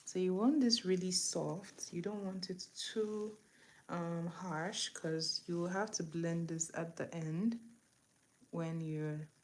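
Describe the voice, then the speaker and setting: A woman's voice: on the low side, slow pace, darkish tone. A woman from eastern Nigeria, chatting casually to viewers and giving step-by-step instructions as she hosts a makeup tutorial. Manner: chatty, instructive, talking to viewers while working. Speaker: makeup tutorial host on a livestream. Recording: clear background noise, room ambience.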